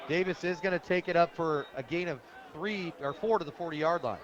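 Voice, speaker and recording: An American man calling live football play-by-play on the radio in an animated, colloquial, opinionated way. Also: a little high, fairly quick. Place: stadium press booth, radio broadcast. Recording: muffled broadcast audio, outdoor stadium noise.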